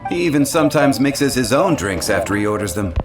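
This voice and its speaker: An actor playing a dry, courteous tavern bartender in a video game. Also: male voice, mid-range voice, big pitch swings, a little fast, natural voice. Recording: echoey, music behind.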